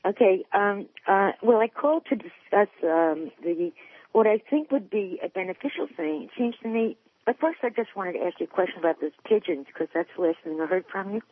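A woman's voice: medium pitch, lively intonation, fairly quick, husky, no room sound. A woman in her sixties calling in to a radio show, rambling and hesitant, with lots of ums. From New York State, USA. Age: 60s. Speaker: caller. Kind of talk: phone-in conversation. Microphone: telephone. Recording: tinny phone line.

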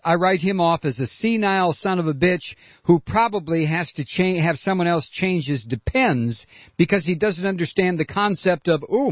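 A man's voice: high-pitched, no echo, muffled recording. Blunt, combative male radio host. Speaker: radio talk show host. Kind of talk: political call-in radio talk.

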